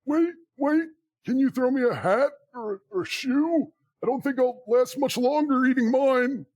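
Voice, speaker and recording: A man's voice: extremely high pitch, a little slow, darkish tone, no room sound, heavily acted. A man playing a lost, half-starved gnome in a comedic audio drama, stammering and hesitant. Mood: pleading.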